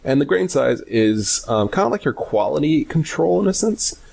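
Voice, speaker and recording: A man giving a casual software tutorial, hesitant and informal as he thinks aloud. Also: fairly high pitch, very animated, normal speed, gravelly, slightly rough. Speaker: tutorial host.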